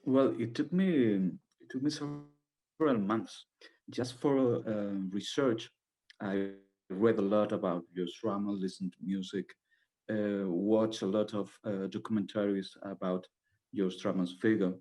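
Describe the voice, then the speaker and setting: A man with a fairly low voice and a Spanish accent, speaking as a native Spanish speaker. He gives a playwright's answers in a question session, haltingly, often feeling for the right word. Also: steady tone, dark tone. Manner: halting, searching for words. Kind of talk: post-show Q&A answers.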